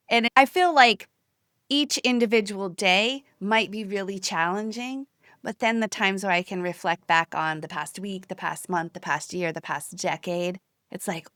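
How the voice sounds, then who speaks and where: A woman's voice: mid-range voice, bright, forceful tone, no echo, clean studio sound. A woman hosting a conversational interview podcast with an effusive, encouraging manner.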